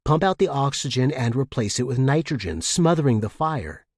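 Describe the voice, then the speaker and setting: A man's voice: medium pitch, expressive, moderate pace, a little mellow, breathy edge, dry recording, clean studio sound. A man reading scripted first-person fiction as a story narrator.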